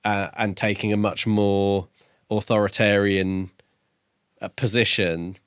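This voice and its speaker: A man with a slightly low voice, recorded close and dry, speaks as a podcast co-host. He talks through books casually, sounding thoughtful and agreeable. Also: level tone, slow, clear, resonant tone, dry recording. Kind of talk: casual book discussion.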